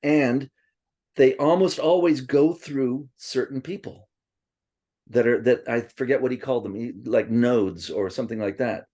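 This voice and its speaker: A man's voice: mid-range voice, unhurried, mellow. A man being interviewed, reminiscing casually and rambling with frequent restarts and asides, who grew up speaking Swedish first. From born in Princeton, raised partly in Tokyo.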